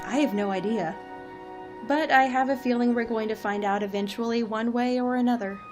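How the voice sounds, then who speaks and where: A woman's voice: on the high side, a little fast, music in the background, natural voice. A woman playing the wry director of a secret organisation in a dry comedic audio drama. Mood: wry, understated.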